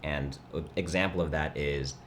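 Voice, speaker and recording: A young man hosts a laid-back livestream, his voice sitting extremely low and full of vocal fry with a nasal edge. Mandarin was his first language, and he rambles easily while chatting. A faint hum of room noise sits under him. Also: steady tone, normal speed. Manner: laid-back, rambling, reads chat aloud. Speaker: livestream host. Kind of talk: casual chat.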